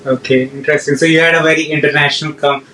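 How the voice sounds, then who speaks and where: A man hosting a relaxed podcast interview, slightly high-pitched and very flat in delivery. He hesitates often and fills pauses with "uh". He sounds set back from the microphone. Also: recorded from a distance, slight background noise, poor audio. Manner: hesitant, many fillers. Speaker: podcast host.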